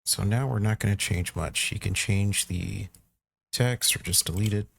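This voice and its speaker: A man giving a casual software walkthrough, thinking aloud informally as he works through each step. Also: lowish voice, normal speed, creaky, dry recording. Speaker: tutorial presenter.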